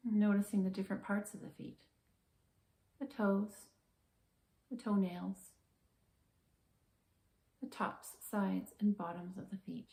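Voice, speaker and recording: A woman guiding a body scan meditation in a calm, gentle, measured manner with slow, soothing pauses. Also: mid-range voice, creaky, roomy sound, rough recording. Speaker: meditation guide, therapist.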